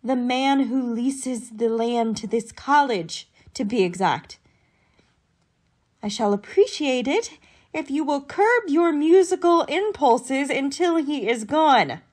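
A woman's voice: high voice, lively intonation, slow pace, full character voice. A woman playing a stern, formal dean of women in an old-time style radio comedy. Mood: exasperated, stern. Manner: prim, formal.

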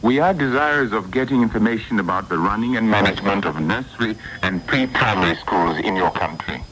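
A man's voice: on the low side, expressive, a little fast, fairly bright tone. A man reading listeners' letters aloud on a radio programme, captured from a noisy 1980s shortwave broadcast. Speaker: letter reader.

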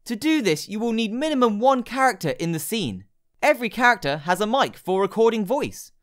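A woman narrates a scripted how-to guide with a lively, moving pitch. She speaks in short instructional steps, laying out each direction plainly. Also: a little fast. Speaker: tutorial narrator. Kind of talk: scripted step-by-step app tutorial narration.

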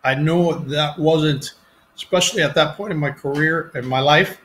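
A man with a Cuban accent talks through his career over a video call on a headset. His voice is bright and a touch high, and he tells his stories in an animated way, breaking off and restarting sentences as he goes. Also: moderate pace, a little hiss or hum. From Cuban family. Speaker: actor, interview guest. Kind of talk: casual storytelling interview. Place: home room, video call.

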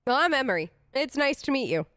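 A woman's voice: sits high, very animated, quick pace, fairly bright tone, no room sound. A woman role-playing through a video game, speaking chattily in informal, in-character replies. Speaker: let's player.

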